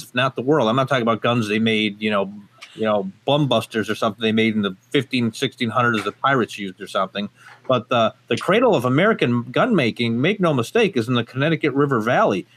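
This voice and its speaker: A man's voice: medium pitch, a bit bright. A man born in Portugal and raised in the United States, a guest on a casual podcast interview, who talks emphatically with many fillers and restarts. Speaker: gun company guest.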